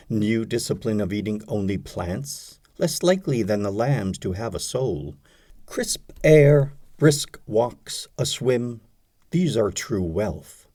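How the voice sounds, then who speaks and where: Male poetry reader, lowish pitch, very animated intonation, dramatic phrasing, audiobook recitation, pausing often. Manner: deliberate, dramatic phrasing with frequent pauses.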